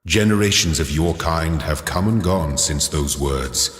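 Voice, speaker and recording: Godlike creator, video game, deep, slow, solemn, paternal, scriptural phrasing. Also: male voice. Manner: grand, paternal, solemn, measured. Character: solemn godlike male creator of a garden world. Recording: cavernous echo with long reverb.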